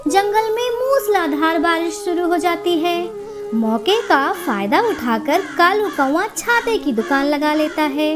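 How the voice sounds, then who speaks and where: A woman's voice: extremely high pitch, animated, unhurried, over loud music. A woman reading scripted narration for a children's story.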